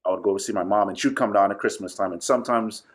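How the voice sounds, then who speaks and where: A man who grew up in Trinidad speaks in a fairly deep voice. He chats as an interview guest, telling personal stories in a loose, playful way, often repeating himself for emphasis as he thinks aloud. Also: fast talker, slightly rough. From Trinidad, with summers in New York. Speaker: podcast guest, actor and director. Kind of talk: casual conversational interview, storytelling.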